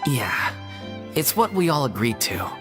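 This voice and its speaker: A woman's voice: very deep voice, big pitch swings, measured pace, full character voice. A woman voicing a blunt, scolding troupe member in a video game. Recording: game dialogue over loud music.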